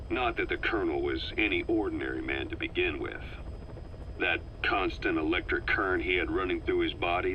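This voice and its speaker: An actor playing a wary, brooding veteran intelligence operative, giving briefings in a measured, grave manner for a game. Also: male voice, sits low, muffled recording, slight background noise, close to an everyday voice. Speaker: briefing officer. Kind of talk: dramatic game briefing dialogue.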